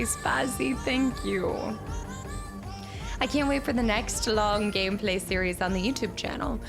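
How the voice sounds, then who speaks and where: A woman streamer chatting casually with her viewers and thanking them, upbeat, her voice a little raspy today. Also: on the high side. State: slightly raspy voice. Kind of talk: casual stream chat reading chat. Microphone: streaming mic.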